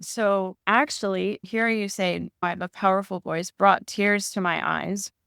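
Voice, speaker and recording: A middle-aged woman speaking as a podcast guest, candid and emotional, pausing and correcting herself as she thinks aloud. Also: mid-range voice, fairly even intonation, creaky, a bit bright, clean tone, no echo, clean studio sound. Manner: thoughtful, candid and emotional, with pauses and self-corrections. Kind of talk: reflective interview conversation.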